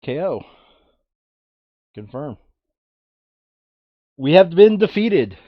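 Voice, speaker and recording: A man's voice: a little high, very animated, extremely slow, dry recording, dull audio. A man playing a board game, casually narrating the steps and muttering asides to himself as he works through them. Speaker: tabletop game player and narrator.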